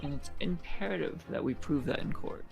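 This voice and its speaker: A man's voice: a little high, a little fast, vocal fry, music in the background. A man acting an earnest young student lawyer in a video game fan dub, hesitant yet resolute.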